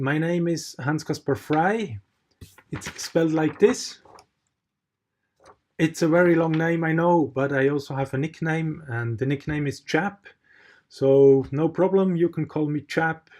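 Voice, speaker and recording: A man's voice: on the high side, a little slow, a little mellow, a little hiss or hum. A Swiss man whose first language is not English, speaking as mentor in a recorded online tutorial, often hesitating and restarting his sentences. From Switzerland. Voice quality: hesitant, frequent fillers and restarts. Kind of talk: recorded tutorial with screen share.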